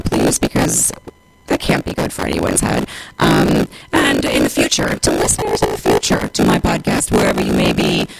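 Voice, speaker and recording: A radio host from the United States rambling casually and wryly between songs, with the voice broken up by a robotic, glitching digital distortion. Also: creaky. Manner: wry, sardonic, exasperated, swearing. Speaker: radio show host and podcaster. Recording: noisy, garbled low-bitrate streaming audio. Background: harsh interference noise.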